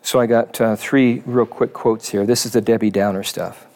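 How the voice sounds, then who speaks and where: A man's voice: lowish voice, fairly even intonation, darkish tone. Man giving a reflective sermon. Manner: thoughtful, measured, reads quotes aloud.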